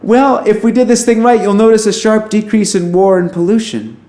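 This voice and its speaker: A man's voice: high-pitched, fairly quick, warm tone, reverberant, lightly acted. An actor playing an earnest, rambling alien man running for president in an audio drama, with a hesitant, wry, conversational manner. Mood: wry, upbeat.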